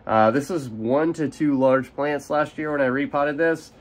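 A man's voice: a little high, measured pace. A young man with a slightly nasal voice from a cold, casually explaining how he divides and repots plants, recorded outdoors by an overhead camera. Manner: relaxed, explanatory, casual with fillers. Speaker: carnivorous plant grower. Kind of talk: hands-on gardening demonstration. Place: outdoors in sunlight at a potting table. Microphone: camera overhead, speaker off frame.

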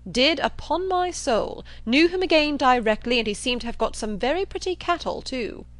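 A woman voicing a loud, brash, boastful young man with a blustering, impatient delivery in a storybook reading. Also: high voice, expressive, moderate pace, no echo, pitched above the performer's own voice. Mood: insistent. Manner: blustering, emphatic exclamations.

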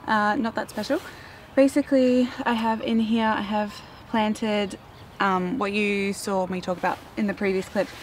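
A young woman with an Australian accent and a croaky morning voice, chatting casually and self-mockingly to camera in her backyard garden. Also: medium pitch, steady tone, moderate pace, a little hiss or hum. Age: young adult. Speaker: flower farming vlogger. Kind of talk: casual vlog talk to camera.

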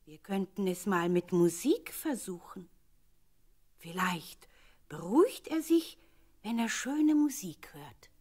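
A practical, indignant maidservant at a royal court in a radio play. She speaks earnestly in a middle-pitched woman's voice. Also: big pitch swings, takes it slowly, a little mellow, breathy edge, heavily acted. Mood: persuasive. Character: indignant but practical female royal servant.

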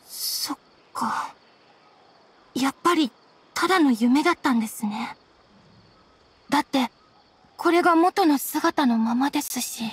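A woman playing a polite young scholarly woman in an anime game, hesitant and subdued. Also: high voice. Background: faint game music.

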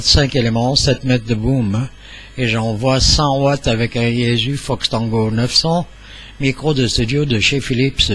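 An older man speaking in a formal, polite and halting way as he makes an amateur radio contact. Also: mid-range voice, fairly bright tone, breathy edge. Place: small indoor home radio room. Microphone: studio mic on boom arm, headset.

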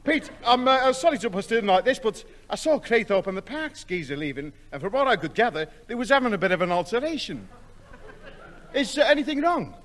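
A man's voice: high-pitched, very animated, fairly quick, fairly bright tone. An actor playing a daft, chummy neighbour with a Liverpool accent, matey and muddling his words, in radio comedy. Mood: breezy. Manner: matey, malapropism-prone. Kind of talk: 1960s radio comedy acting.

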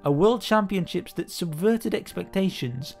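A man narrating a scripted sports history documentary in a steady, measured way. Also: high-pitched, vocal fry. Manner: steady, measured storytelling delivery. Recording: voice-over with faint background music.

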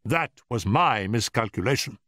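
An urbane, unflappable gentleman detective performed by a male narrator, wry and polite. The pitch sits above a natural male voice and moves expressively, as in a classic storybook reading. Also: expressive, normal speed, dry recording, clean studio sound, close to an everyday voice. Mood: brisk, analytical.

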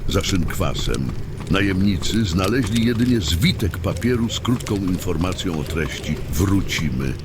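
A man playing a father who tells a dark fantasy tale in a measured, explanatory storytelling manner. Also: sits low, animated. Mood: calm, explanatory. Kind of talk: fantasy audio drama narration. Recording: loud vehicle-like ambient noise behind.

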